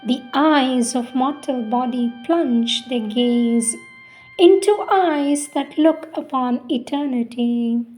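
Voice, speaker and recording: A woman reciting spiritual poetry in a solemn, measured, deliberate way with pauses between phrases. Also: high voice, a little mellow, low-quality recording. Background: music.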